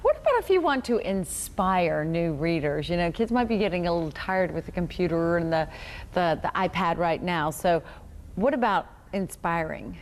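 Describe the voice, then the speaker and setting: A woman's voice: lowish voice, expressive, normal speed. Woman radio host in a reverberant space. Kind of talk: conversational broadcast interview.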